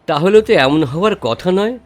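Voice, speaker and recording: Man playing a commanding, animated tantric guru. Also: high voice, normal speed. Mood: grave, probing. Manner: authoritative, interrogating. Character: commanding male tantric guru, blunt and probing. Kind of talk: audio drama acting.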